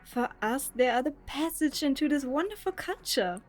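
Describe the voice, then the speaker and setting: High-voiced bossy student sister played by an actress. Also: female voice, animated, normal speed. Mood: fond enthusiasm. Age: young adult. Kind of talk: audio drama acting.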